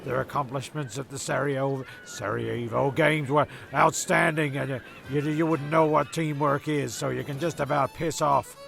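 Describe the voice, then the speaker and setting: A man playing a flustered, touchy narrator among castaways in a comedy radio play, halting and repeating himself. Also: mid-range voice, steady tone, gravelly, a bit bright, soft background music. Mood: indignant. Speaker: narrator and character.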